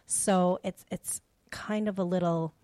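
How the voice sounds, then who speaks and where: A woman's voice: on the low side, steady tone, takes it slowly, mellow, no echo, clean studio sound. A middle-aged woman from Canada hosting a faith show, giving personal testimony in a conversational, reflective and earnest way. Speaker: host of a faith radio show.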